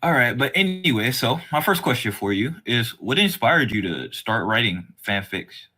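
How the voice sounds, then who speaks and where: A man's voice: medium pitch, big pitch swings, normal speed, bright, forceful tone, breathy edge. A man hosting a podcast interview in a casual, conversational and slangy way. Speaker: podcast host.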